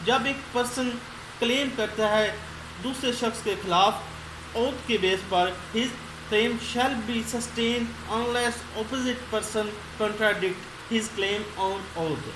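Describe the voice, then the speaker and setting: A woman's voice: a little high, very slow. A woman giving an explanatory law lecture, reading out points in English and explaining them in mixed Hindi-Urdu. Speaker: law lecturer and channel host. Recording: roomy echo, clear background noise.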